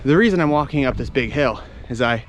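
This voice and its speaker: A man's voice: mid-range voice, animated, a little fast, highs cut off. Casual male travel vlogger outdoors, noisy background. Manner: chatty, informal, explaining as he explores. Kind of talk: casual walking travel commentary, unscripted. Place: outdoors, walking around a hilly town.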